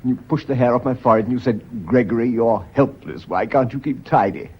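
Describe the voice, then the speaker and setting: An actor playing an earnest British schoolmaster and lover, persuasive and reassuring with a nervy edge, in a radio drama. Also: male voice, mid-range voice, brisk, husky, close to an everyday voice. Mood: tender, teasing. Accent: British English. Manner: persuasive, reassuring, by turns tender and exasperated. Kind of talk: British stage-style radio drama acting. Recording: dull, hissy old radio recording.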